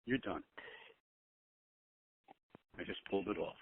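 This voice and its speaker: Male caller, fairly low voice, halting but insistent, repeating himself over a tinny phone connection. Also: gravelly, a little hiss or hum. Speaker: conference call participant. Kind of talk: casual discussion, airing opinions. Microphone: telephone.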